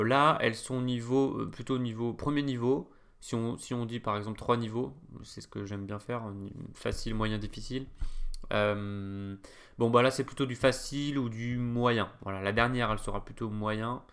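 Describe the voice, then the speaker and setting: A man's voice: medium pitch, fairly even intonation, moderate pace, fairly bright tone. A man teaching chess in a casual, hesitant way, full of fillers and restarts. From France. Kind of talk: casual screen-share lesson.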